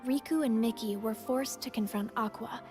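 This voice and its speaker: A woman playing an earnest young game heroine, recounting her friends' battles in measured, solemn narration. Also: fairly high pitch, music in the background, natural voice. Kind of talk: scripted story recap narration.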